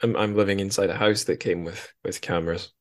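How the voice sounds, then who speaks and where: Low-voiced man, chatty podcast host. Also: steady tone, a little fast. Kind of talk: casual book discussion.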